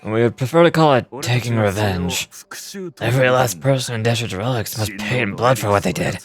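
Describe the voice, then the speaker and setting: A man voicing a grave, loyal desert gang member in a game, explaining things in a measured amateur read. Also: fairly low pitch, expressive, music in the background, natural voice. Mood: vengeful. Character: grave, loyal male desert gang member recounting his boss's sacrifice. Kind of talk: amateur voice acting of game dialogue.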